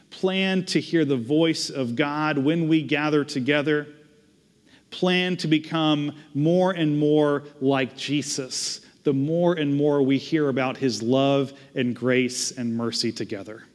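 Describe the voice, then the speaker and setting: A pastor in his thirties with a Southern US accent, preaching at a pulpit mic in a relaxed, self-deprecating way. His voice sits a little high for a man, and the large church hall lets it ring on with long reverb. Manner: conversational, self-deprecating preaching, then earnest prayer. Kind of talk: sermon with closing prayer. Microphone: pulpit microphone.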